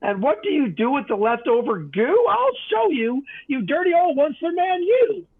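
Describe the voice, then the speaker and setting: A man's voice: very high-pitched, sing-song, normal speed, voice pushed up, heavily acted. A man voicing an indignant, scolding little creature that defends nature in a storybook, snappish and pleading by turns. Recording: tinny, phone-like narrow band.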